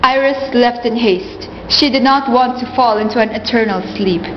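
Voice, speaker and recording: A woman's voice: fairly high pitch, moderate pace, a little hiss or hum, natural voice. A woman narrating a myth in a scripted storybook style, as the narrator of a radio play. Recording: muffled, echoing, music behind.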